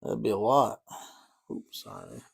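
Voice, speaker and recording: A man's voice: lowish voice, wildly swooping pitch, unhurried, creaky, slightly rough. A man chatting casually and rambling a bit as he paints miniatures, easygoing and self-deprecating. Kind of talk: casual chat while painting.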